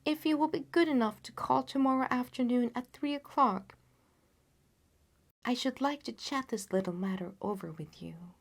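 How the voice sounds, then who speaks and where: Woman playing storybook gentleman detective, lifted pitch, cool, unruffled, lightly questioning. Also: moderate pace, gravelly. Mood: polite, brisk. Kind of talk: dramatised audiobook reading.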